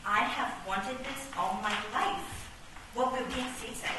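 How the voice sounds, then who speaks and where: A woman's voice: fairly high pitch, measured pace, natural voice. Woman acting earnest chess piece, distant and echoey. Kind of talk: comic radio play acting. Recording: very echoey, distant, very noisy room.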